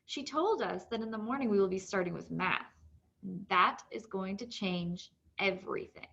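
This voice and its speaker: A woman narrates a children's novel at a medium pitch. She reads from the text as a storyteller, and the recording sounds somewhat dull and lo-fi. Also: moderate pace, gravelly, a bit bright. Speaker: read-aloud narrator.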